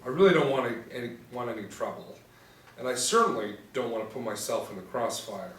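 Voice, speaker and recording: A man's voice: medium pitch, expressive, a little fast, natural voice. Echoey man reading as a hesitant young student witness. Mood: uneasy, reluctant. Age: young adult. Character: scared, reluctant young male student witness. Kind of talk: scripted table read of a TV pilot. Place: small indoor room, seated reading. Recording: echoey room, distant mic, faint noise.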